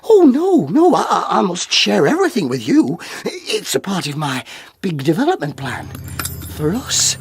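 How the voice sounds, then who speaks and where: A man's voice: high-pitched, huge pitch swings, moderate pace, heavily acted. An actor playing a lovesick, over-eager inventor in a comic audio drama, stammering and repeating himself as he rambles. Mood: nervous, smitten. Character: lovesick, rambling male inventor in mythic comedy.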